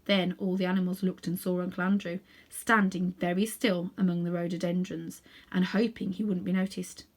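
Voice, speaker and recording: A woman narrating a children's storybook aloud in an expressive reading style. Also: lowish voice, moderate pace. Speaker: storybook reader.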